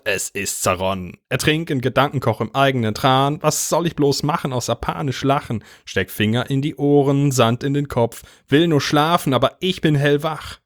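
A man's voice: mid-range voice, dry recording. Man reciting dramatic poetry, very dry sound. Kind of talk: performed spoken-word poetry recital.

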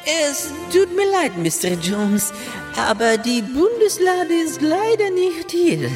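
A high, slow, apologetic messenger. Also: female voice, very animated, exaggerated voice. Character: hesitant, apologetic bearer of bad news. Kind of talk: comic radio play acting. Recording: clear music behind the voice.

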